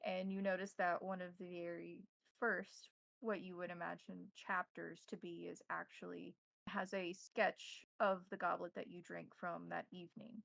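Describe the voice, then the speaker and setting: A woman game master narrates a tabletop horror story. Her voice carries plenty of creaky fry and is recorded dry. She describes things deliberately, phrase by phrase. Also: on the low side, flat delivery, a bit bright, no room sound, rough recording.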